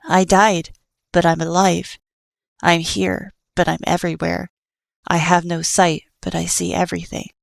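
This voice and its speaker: A woman voicing a patient, sorrowful child who sees everything and speaks softly and earnestly. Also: lowish voice, little pitch movement, measured pace, gravelly, dry recording, studio quality. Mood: calm. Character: calm all-seeing child, sorrowful and patient.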